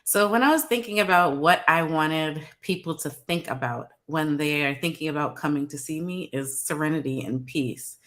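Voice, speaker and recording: A woman from New York, a psychologist guest on a video call, calm and measured with frequent pauses. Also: deep voice. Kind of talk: interview over video call.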